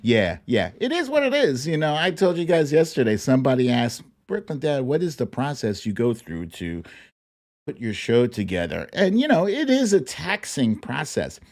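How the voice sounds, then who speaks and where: A man hosting a live streamed show, chatting to viewers in a playful, theatrical way with drawn-out exclamations. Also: medium pitch, huge pitch swings, darkish tone. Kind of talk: live chat-show talk, greeting viewers by name.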